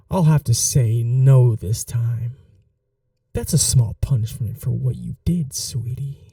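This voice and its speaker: A man voicing an obsessive, grieving male vampire in an audio roleplay, whispering softly and swinging between menace and desperate pleading. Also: medium pitch, vocal fry, no room sound, studio quality, far from the mic, heavily acted. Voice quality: soft whispering, intimate.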